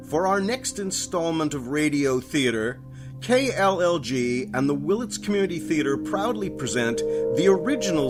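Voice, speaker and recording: Slow male radio announcer over music. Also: a little high. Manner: measured, presentational. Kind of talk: scripted radio drama introduction.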